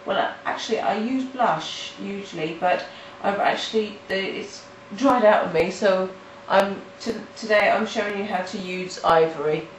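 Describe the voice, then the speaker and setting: A woman with a nasal voice from hay fever, talking halting and casual as she walks through a coloring tutorial at home. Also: medium pitch. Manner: halting, with restarts and fillers. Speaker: art tutorial host. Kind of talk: casual step-by-step tutorial narration. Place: home in the countryside. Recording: roomy, mic at a distance, faint noise.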